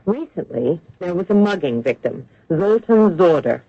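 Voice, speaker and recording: Radio detective: slightly high, animated, emphatic, businesslike, muffled vintage sound. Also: male voice, normal speed, no echo, full character voice. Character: brisk, speculating police detective on a case. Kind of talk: old-time radio crime drama acting. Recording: dull vintage radio recording.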